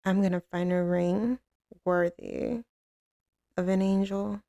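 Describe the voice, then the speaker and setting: A woman playing a tough, commanding mafia boss who turns tender and doting with a lover, in an intimate, slow-spoken ASMR roleplay with a gravelly voice. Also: medium pitch, flat delivery, warm tone, dry recording, studio quality. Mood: doting, earnest. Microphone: close studio microphone, dry.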